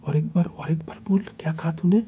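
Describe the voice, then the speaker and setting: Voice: mid-range voice, fairly even intonation, a little fast, mellow, dull audio, slight background noise, close to an everyday voice. A storyteller voicing a young man who pleads with and then confronts his partner. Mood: hurt intense. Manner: coaxing, then hurt and intense. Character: young man, doting then possessive lover.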